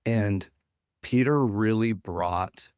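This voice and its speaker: Friendly podcast host guy, upbeat, kinda low voice, dry sound. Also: very slow, a little mellow, smooth, dry recording. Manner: upbeat, friendly, restarts often. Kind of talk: casual interview chat.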